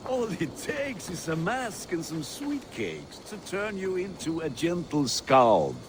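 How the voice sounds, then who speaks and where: An actor playing a hearty, proud Viking with an expressive, bantering way of talking in a video game. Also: male voice, high-pitched, takes it slowly, slight background noise, exaggerated voice. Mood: teasing. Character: hearty Viking man, teasing friend with fierce pride. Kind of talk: video game dialogue acting.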